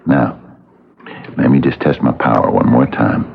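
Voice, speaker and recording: An actor playing a soft-spoken scheming husband in an old-time radio drama, coaxing hesitantly like a hypnotist. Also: male voice, rumbling low, a little slow, gravelly, background noise, heavily acted. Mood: hypnotic, menacing. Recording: lo-fi vintage radio, organ-like music behind.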